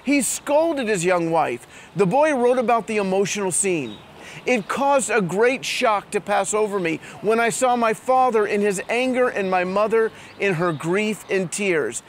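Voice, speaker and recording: High-voiced man presenting outdoors. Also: slight background noise. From immigrant to Israel. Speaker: host. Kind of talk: scripted presenting to camera. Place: outdoors in a town street.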